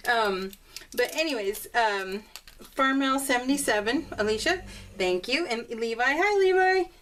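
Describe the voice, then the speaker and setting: A woman's voice: fairly high pitch, expressive, unhurried, a bit bright. A woman vlogger chatting casually and bubbly to her viewers, rambling in a scattered way and circling back on her thoughts. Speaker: crafting vlogger.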